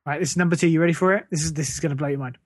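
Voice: mid-range voice, fairly even intonation, racing, darkish tone. Dryly teasing podcast co-host. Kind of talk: casual chat.

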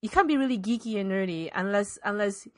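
A woman's voice: medium pitch, quick pace, a little mellow. A woman co-hosting a podcast in casual, opinionated chat, emphatic and circling back over her phrases. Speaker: podcast co-host.

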